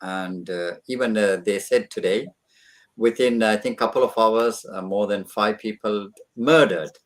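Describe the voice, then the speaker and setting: A man with a Sri Lankan accent hosting an online panel discussion, opening with religious greetings and pausing on many fillers. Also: on the low side. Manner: opens with Islamic greetings, halting with many fillers. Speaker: host.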